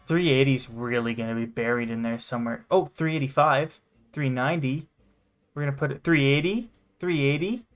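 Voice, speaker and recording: Excitable sweary young guy gaming. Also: on the high side. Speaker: gaming channel host. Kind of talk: casual game commentary with banter.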